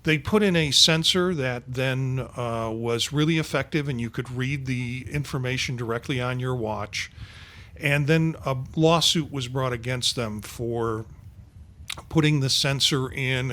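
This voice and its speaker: A man's voice: medium pitch, fairly bright tone. A man in his sixties hosting a podcast panel, rambling along with many fillers. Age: 60s. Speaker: podcast host. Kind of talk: casual panel chat.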